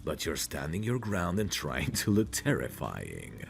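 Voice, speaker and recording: A man playing a possessive, protective mafia boss in an intimate audio roleplay, calmly menacing, commanding and smug. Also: over music, heavily acted. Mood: amused, admiring. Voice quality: deep, gravelly. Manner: slow, commanding, smug, teasing endearments.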